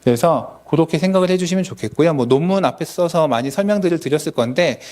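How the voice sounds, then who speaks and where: A man's voice: a little high, expressive, fast, darkish tone. A man, a dentist, lecturing colleagues at a symposium in a conversational, explanatory manner.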